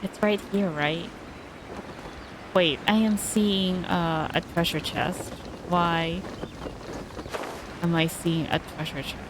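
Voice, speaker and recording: A woman comments casually over a game she is playing, in a fairly low voice. She talks very slowly, thinking aloud, and there is clear noise in the background. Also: fairly even intonation. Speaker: game streamer.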